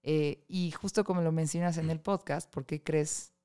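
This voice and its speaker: A woman's voice: low-pitched, fairly even intonation, normal speed, mellow, clear, resonant tone. A woman hosting a podcast interview, asking curious questions in a casual conversational way. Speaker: podcast host.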